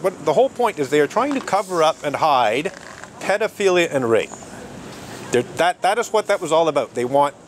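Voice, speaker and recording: A man's voice: fairly high pitch, very animated, fairly quick. A man arguing his case on a city street, insistent and repeating himself, laughing between points. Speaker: street protester. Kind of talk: heated street argument. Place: city street outdoors near traffic. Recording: outdoor street noise, traffic.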